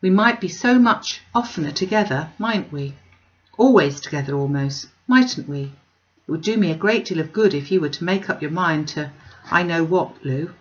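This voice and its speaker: A woman reading a storybook part as a selfish young gentleman, breezy and slangy, wheedling his sister. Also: lowish voice, expressive, moderate pace, dull audio, lightly acted. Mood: coaxing.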